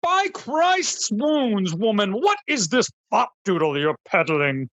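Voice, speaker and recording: A woman playing a pompous, easily outraged male talk-show host in a comedy radio sketch, declaiming in archaic, highbrow phrases. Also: big pitch swings, slow pace, fairly bright tone, no echo, full character voice. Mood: indignant.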